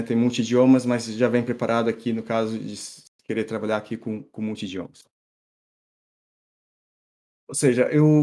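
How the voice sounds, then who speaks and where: A middle-aged man from São Paulo with an accent mixing Brazilian and European Portuguese, giving a relaxed live-coding talk full of fillers and restarts. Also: medium pitch. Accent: mixed Brazilian and European Portuguese. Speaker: tech meetup speaker.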